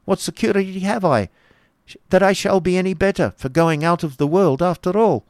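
A man's voice: a little fast, no room sound, clean studio sound. A man voicing a frank, blustery baron in a storybook reading, with an exclamatory, emphatic delivery. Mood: doubtful, earnest. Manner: exclamatory, emphatic, jumping up in pitch.

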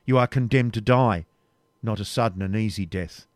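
A proud medieval knight speaks gravely and with measured weight, as a male reader plays him. The voice is low and the sound dry and close. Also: no room sound, lightly acted. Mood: stern. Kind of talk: audiobook reading, period novel.

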